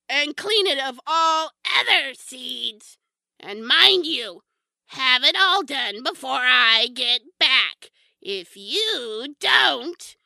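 A woman's voice: high voice, gravelly, sharp tone, no echo, heavily acted. A woman playing a menacing, spiteful old witch in a fairy-tale audio drama, domineering and theatrical. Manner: theatrical, drawn-out, domineering delivery.

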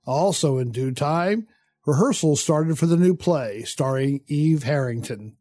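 A man acting in an audio drama as an urbane, sardonic theatre critic with a measured, condescending manner and quiet menace. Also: on the high side, lively intonation, no room sound. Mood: wry recollection. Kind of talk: audio drama acting with first-person narration.

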